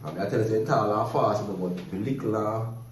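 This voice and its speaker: A man with a Jamaican accent telling lively, laughing stories in a casual chat. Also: lowish voice, quick pace, slight background noise. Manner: lively, laughing, animated storytelling in patois. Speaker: guest storyteller. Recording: roomy echo from a distance.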